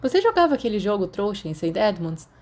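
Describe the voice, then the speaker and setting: A Brazilian woman voicing a cheeky, teasing teenage boy wizard in a story, playful and quick to protest. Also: mid-range voice, sing-song. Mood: casual.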